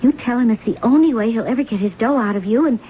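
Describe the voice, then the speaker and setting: High-voiced, hard-boiled radio drama mistress played by an actress. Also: female voice, quick pace, lightly acted. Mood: cool, scheming. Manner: slangy, familiar, hard-boiled. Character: worldly, scheming young mistress, gangster's daughter. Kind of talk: 1970s radio drama acting. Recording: tinny, noisy old radio broadcast.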